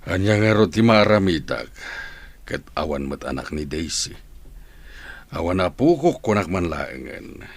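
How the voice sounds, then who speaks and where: A man playing a lonely, stubborn elderly father and master of the house in a radio comedy-drama, with a gravelly voice. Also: low voice, sing-song, unhurried, no room sound, exaggerated voice. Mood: wistful.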